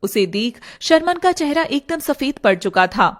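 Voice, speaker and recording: A woman's voice: sits high, very animated, moderate pace. A woman narrating a scripted story in a dramatic storytelling style.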